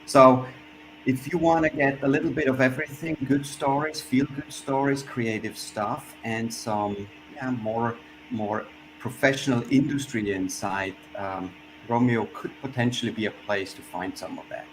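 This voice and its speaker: A man from Switzerland speaking as a panelist in an online discussion, reflective and pausing often as he restarts his sentences. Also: medium pitch, steady tone. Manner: reflective, with frequent pauses and restarts. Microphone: video call. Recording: noisy video-call audio.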